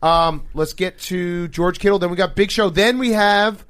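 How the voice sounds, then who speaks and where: Higher-pitched man hosting a podcast. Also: normal speed, a bit bright, no room sound. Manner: profane, joking. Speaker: podcast host. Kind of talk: casual sports comedy podcast banter.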